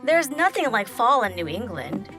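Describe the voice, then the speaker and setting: An actress playing an eccentric, forward spinster on the hunt for a husband in a comic audio play, genteel and coy with menacing undertones. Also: female voice, fairly high pitch, very animated, normal speed, over music. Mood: coy, flirtatious.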